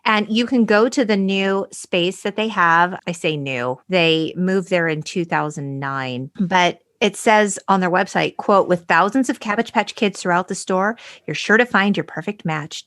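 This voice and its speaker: A woman's voice: on the low side, moderate pace, hardly any pauses, a bit bright, no echo. A woman co-hosting a podcast, talking conversationally through her notes with a wry, playful manner. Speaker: podcast co-host. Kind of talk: conversational podcast talk with read-out notes and quotes.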